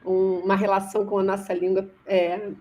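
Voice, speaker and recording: A woman's voice: mid-range voice, little pitch movement, moderate pace, warm tone. A Brazilian woman moderating an academic panel informally, reflective and often rephrasing as she searches for words. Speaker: moderator of an online course panel.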